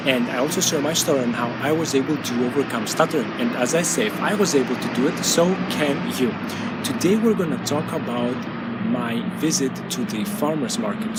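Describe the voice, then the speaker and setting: A man talks straight to his audience in an earnest, measured way, pausing often and filling gaps with small hesitations. His voice sits at an ordinary middle pitch. He is recorded outdoors, with loud car traffic rumbling close behind him. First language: Greek. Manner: earnest and measured, with frequent pauses and fillers. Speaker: channel host, stuttering coach. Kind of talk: motivational talk to camera.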